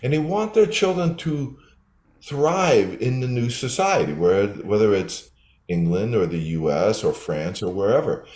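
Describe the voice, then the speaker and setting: A man's voice: fairly low pitch, expressive, unhurried, a little mellow. An older man, a developmental psychologist, explaining his research in a thoughtful interview answer with frequent restarts. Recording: roomy echo.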